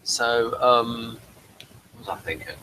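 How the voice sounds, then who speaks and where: Bright-voiced man chatting about TV. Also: medium pitch, takes it slowly, rough recording. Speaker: review show co-host. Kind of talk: casual TV episode review discussion.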